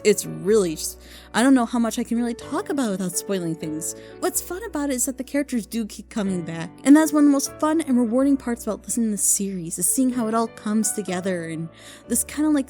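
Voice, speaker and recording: Female reviewer, higher-pitched voice, loose and enthusiastic rambling, unscripted chat with music underneath. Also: warm tone. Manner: informal, gushing, rambling. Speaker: reviewer recommending audio dramas. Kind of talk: casual unscripted recommendation chat.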